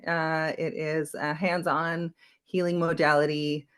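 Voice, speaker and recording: A woman's voice: low voice, little pitch movement, a little slow, smooth. A woman in her forties who grew up in Belgium, a wellness coach being interviewed on a podcast, talking reflectively with frequent ums and restarts.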